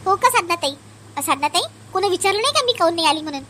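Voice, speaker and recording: A woman's voice: very high voice, animated, very fast, fairly bright tone, soft background music. A woman voicing a feisty, outspoken young daughter-in-law in a family cartoon, speaking bluntly in colloquial Varhadi Marathi full of rhetorical questions. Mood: disappointed, curious. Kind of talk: cartoon family drama dialogue.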